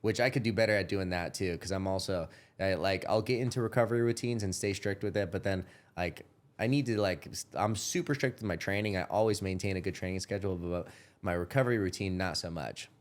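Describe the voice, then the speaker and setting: Man, 30s, podcast host, low voice, loose rambling talk, restarts, swears casually. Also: fairly quick. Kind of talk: casual chat.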